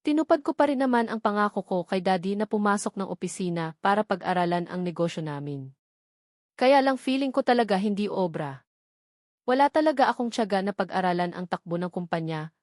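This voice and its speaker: A woman's voice: mid-range voice, animated, fairly quick, a little mellow, no echo, studio quality. A woman with a Filipino accent narrating a first-person romance novel from a script. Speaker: audiobook narrator.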